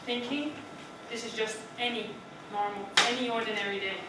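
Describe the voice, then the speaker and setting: A woman's voice: fairly high pitch, fairly even intonation, moderate pace. Dutch woman giving a speech in an echoey room. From Netherlands. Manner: chatty, asks the audience questions, often adds "right?". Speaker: club speaker. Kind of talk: prepared public speech. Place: meeting room. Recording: echoey room from far off with noise.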